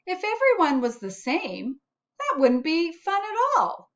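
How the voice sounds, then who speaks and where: Woman reading as a slow, friendly storybook spot. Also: extremely high pitch, huge pitch swings, muffled recording, voice pushed up, exaggerated voice. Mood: upbeat, encouraging. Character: friendly encouraging storybook spot, cheerful helper for children. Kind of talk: children's storybook narration.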